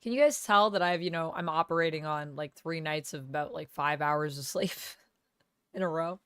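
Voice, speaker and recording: Woman, live streamer, gravelly smoky voice, sick with a cold, chatty, lowish pitch. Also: normal speed, fairly bright tone, no room sound, studio quality. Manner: chatty, rambling, thanks viewers by name. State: under the weather with a cold. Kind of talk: casual live-stream chat, reading viewer comments. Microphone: gaming headset.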